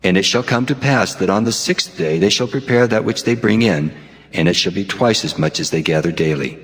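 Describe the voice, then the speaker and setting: A man playing the Lord God in a dramatized scripture recording, solemn, measured and authoritative. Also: on the low side, fairly bright tone, natural voice. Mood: solemn, commanding. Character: the Lord God, commanding, solemn male deity. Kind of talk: dramatized scripture audio acting. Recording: long cavernous reverb.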